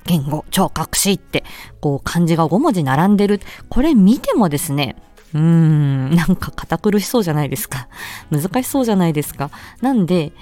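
A woman's voice: sits low, big pitch swings, moderate pace, mellow, faint music behind. A woman streamer chatting politely and explaining things in answer to a listener's letter. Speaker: streamer and speech-language therapist. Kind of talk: casual solo chat answering a listener's letter.

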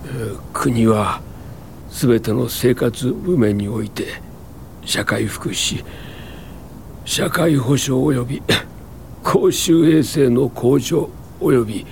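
Halting, gravelly, ailing male patient. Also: mid-range voice, a little mellow, a little hiss or hum. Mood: solemn, determined. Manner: halting, coughing, plain-spoken and earnest. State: ill, coughing, breathless. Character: sickly, earnest male tuberculosis patient fighting in court. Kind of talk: radio drama acting.

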